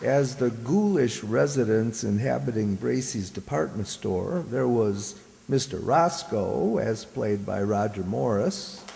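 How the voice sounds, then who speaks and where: Slow, gravelly male announcer. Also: medium pitch, animated. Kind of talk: presenting amateur radio drama credits. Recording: echoey hall with background noise.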